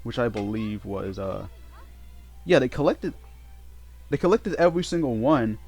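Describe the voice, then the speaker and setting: A man giving casual, unscripted game commentary in a halting way, often repeating and correcting himself as he goes. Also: medium pitch, animated, a little mellow. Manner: halting, repetitive, self-correcting. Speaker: let's play host. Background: game music.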